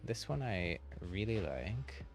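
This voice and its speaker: Male game streamer, low gravelly voice, unhurried rambling delivery, casual, faint music behind. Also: very animated. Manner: rambling, thinking aloud. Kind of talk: casual livestream chat while playing.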